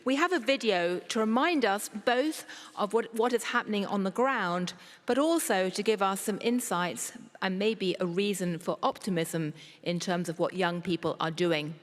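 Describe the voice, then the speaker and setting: A woman's voice: mid-range voice, moderate pace. Woman hosting in a big echoing hall. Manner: fluent, stops for asides while sorting notes. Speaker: conference host. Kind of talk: live event hosting.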